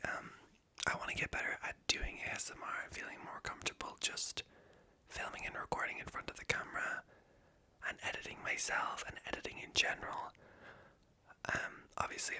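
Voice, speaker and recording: A woman with a light Irish accent whispers a breathy, self-conscious, stream-of-consciousness ASMR ramble. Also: normal speed, pauses often, bright, forceful tone. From Ireland. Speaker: ASMR video creator.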